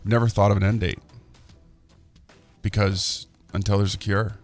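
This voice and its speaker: Low-voiced, chuckling radio guest. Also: male voice, level tone, moderate pace, soft background music. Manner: friendly, chuckles often, restarts sentences. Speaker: charity organizer guest. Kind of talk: casual radio interview. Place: radio studio.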